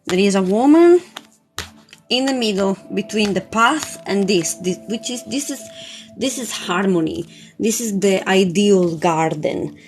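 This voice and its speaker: A woman speaks at a slow pace over prominent background music. She sounds like a spiritual coach doing an informal live card reading, hesitating and circling back over words as she talks to viewers. Her pitch sits in an ordinary female range. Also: animated. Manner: hesitant, searching, often repeating words. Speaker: tarot reader and spiritual coach hosting a live session. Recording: loud music under the voice.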